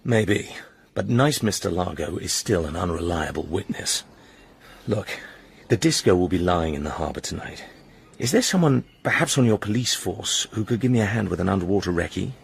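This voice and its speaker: An actor playing a determined, suspicious British commander in a radio drama, husky and gravelly, polite but insistent. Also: male voice, deep voice, expressive, normal speed, exaggerated voice. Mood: firm, insistent.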